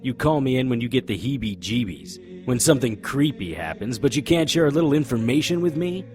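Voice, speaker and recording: A man's voice: medium pitch, very animated, a little fast, a little mellow, soft background music, natural voice. A man playing a wry, stubborn occult investigator who narrates and argues in a noir audio drama. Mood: sardonic, insistent. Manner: sardonic, confrontational.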